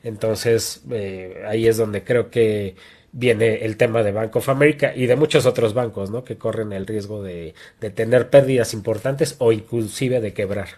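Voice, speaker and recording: A man with a fairly low voice explains financial matters in a relaxed conversational tone. He works through his reasoning step by step and keeps checking in with a questioning tag at the end of his points. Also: moderate pace. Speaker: podcast co-host. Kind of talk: casual discussion.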